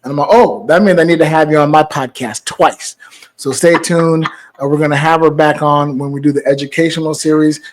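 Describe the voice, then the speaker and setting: A man's voice: a little high, fairly even intonation, a little fast. A man hosting a travel podcast, talking in a hyped, playful way, sitting down with a guest. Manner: hyped, playful, laughs easily. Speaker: podcast host. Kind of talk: casual podcast chat.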